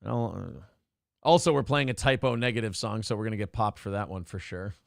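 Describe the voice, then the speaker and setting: A man's voice: lowish voice, a little fast, vocal fry, no echo, studio quality. A man hosting a casual morning talk show, brash and profane, reading viewer donations aloud and riffing on politics.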